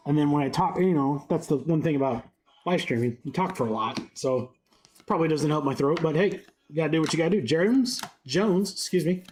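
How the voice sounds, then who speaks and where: A man's voice: fairly high pitch, fairly quick, a little mellow, slight background noise. A congested young man with a head cold, chatting upbeat on a live stream while he rattles off cards. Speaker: card break streamer. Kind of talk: live-stream card break commentary.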